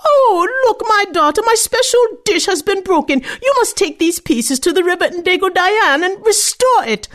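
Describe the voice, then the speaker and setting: A shrill, fussy mother ordering her daughter about in a high, falsely sweet voice, as a woman storyteller puts her on. Her tone leaps up on every phrase. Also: huge pitch swings, moderate pace, few pauses. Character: scheming mother, sharp and shrill.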